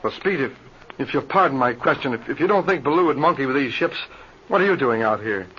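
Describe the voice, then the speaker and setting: An actor playing a nervous, suspicious pilot with halting, fretful speech in an old-time radio adventure serial. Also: male voice, mid-range voice, lively intonation, natural voice. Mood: anxious, fearful. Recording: dull, noisy old radio serial recording.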